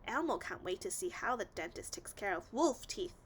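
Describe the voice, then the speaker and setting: A woman's voice: medium pitch, expressive, moderate pace, slight background noise, close to an everyday voice. A woman voicing a cheerful, eager little monster child in a storybook reading. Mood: excited. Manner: childlike, eager, speaks of self in third person.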